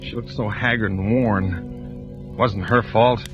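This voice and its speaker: Haunted husband in muffled old radio drama. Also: male voice, lowish voice, steady tone. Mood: somber narration. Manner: grave, dramatic, deliberate. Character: anguished husband and father, fire inspector, haunted, narrating. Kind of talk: 1940s radio acting, first-person narration. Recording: muffled old radio broadcast, music behind.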